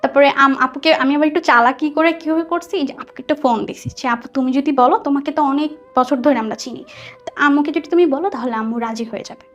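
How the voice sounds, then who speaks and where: A woman's voice: high voice, quick pace, dull audio. A young woman with a Bangladeshi accent telling a personal story conversationally as a guest, chatty and mixing in English words. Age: young adult. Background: soft background music.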